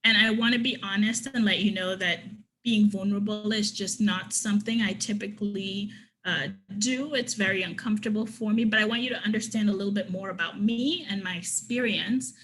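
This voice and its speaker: A woman's voice: mid-range voice, fairly even intonation, fairly quick, a bit bright, rough recording. A woman from Trinidad giving an online conference workshop, earnestly reading participants' comments and responding to each one. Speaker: conference presenter.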